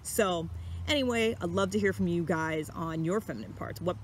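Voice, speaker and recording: Low-voiced young woman vlogging outdoors. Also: moderate pace. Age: young adult. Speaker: vlog host. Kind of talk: casual talk to camera about personality types. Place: outdoors in woods. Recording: clear steady outdoor background noise.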